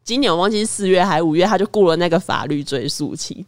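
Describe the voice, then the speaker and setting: A woman's voice: lowish voice, expressive, fast, dry recording. A woman with a Taiwanese Mandarin accent chats casually as a podcast co-host. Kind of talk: casual chat.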